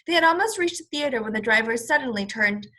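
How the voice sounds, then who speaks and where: A woman with a bright, fairly high voice reads a children's story aloud. She sounds like a teacher, expressive and explanatory in her delivery. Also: quick pace. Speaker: first-grade teacher.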